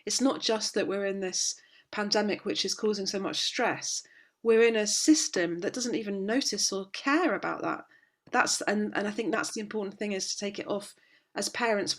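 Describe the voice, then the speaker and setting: Woman a bit hoarse, fired up and indignant, talking over a video call. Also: mid-range voice. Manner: impassioned, indignant, with many restarts. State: slightly hoarse. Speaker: parenting guest speaker. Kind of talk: conversational interview, passionate advocacy.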